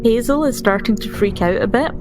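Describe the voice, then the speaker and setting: A woman playing a tabletop game, improvising in character in a deliberate, questioning manner. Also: fairly high pitch, over music. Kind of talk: improvised in-character role-play.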